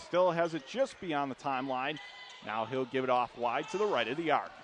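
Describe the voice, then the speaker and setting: A man's voice: medium pitch, animated, normal speed. A man calling live radio basketball play-by-play in clipped running phrases, punching up the big plays. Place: indoor college basketball arena. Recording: noisy courtside gym broadcast. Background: gym noise, bouncing basketball.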